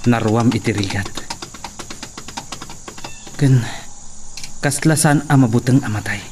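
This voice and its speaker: A man playing a worried, loyal young servant in a radio drama, with an anxious, hushed manner. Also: mid-range voice, background noise, close to an everyday voice. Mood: fearful. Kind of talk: radio drama acting with inner monologue.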